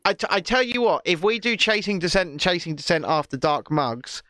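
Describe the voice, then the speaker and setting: A man's voice: high voice, fairly quick, pushed and bright, no room sound. A man in his thirties co-hosting a podcast, teasing his partner and reading out listener comments. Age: 30s. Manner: teasing, swears casually. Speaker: podcast co-host.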